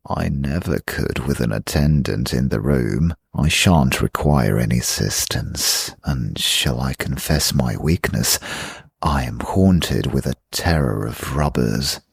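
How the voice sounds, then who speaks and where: A man voicing a languid, mysterious young lady in a gothic audiobook, husky and gravelly, with intimate, drawn-out phrasing. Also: a bass voice, no echo, clean studio sound, heavily acted. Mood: languid, fond.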